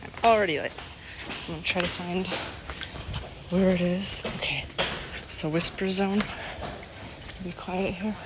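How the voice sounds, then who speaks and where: A young adult woman chatting casually to camera in a rambling, halting way, often trailing off. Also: lowish voice, steady tone. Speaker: vlogger. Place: various spots on the go in Bali. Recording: noisy ambient phone-style vlog recording.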